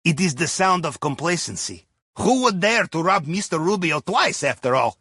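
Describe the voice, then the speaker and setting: Wry russian sub captain for a game, highish bright voice, briefing the crew like a co-conspirator. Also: male voice, sing-song, normal speed, husky, no room sound, studio quality, heavily acted. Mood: conspiratorial. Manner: wry, conspiratorial, tags statements with questions. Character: genial, wry Russian ex-navy submarine captain and heist handler. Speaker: mission handler. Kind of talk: video game mission dialogue over comms.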